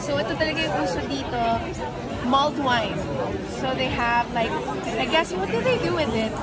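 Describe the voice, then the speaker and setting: A Filipino woman vlogging at a night Christmas market, exclaiming and narrating to a handheld camera as she tries the food, mixing Tagalog and English. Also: high-pitched. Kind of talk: casual travel vlog, mixing Tagalog and English. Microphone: handheld camera at arm's length. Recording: outdoor crowd and music behind. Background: music and a busy crowd.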